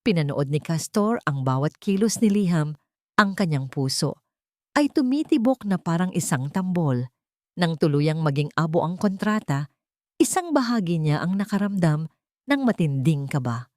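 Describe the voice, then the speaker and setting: A woman narrating a scripted romance story in a deliberate, sentimental way with dramatic pauses. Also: sits low, big pitch swings, no room sound, clean studio sound.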